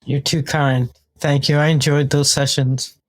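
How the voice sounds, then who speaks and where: A woman's voice: low-pitched, little pitch movement, unhurried. A woman with a New York accent, explaining her work as a guest in an interview. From New York, United States. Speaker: podcast guest, consultant and trainer.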